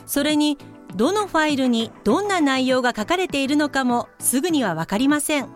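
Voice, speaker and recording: A woman's voice: high voice, expressive, a little fast, soft background music. A woman narrating scripted explanations in a polite, instructive way.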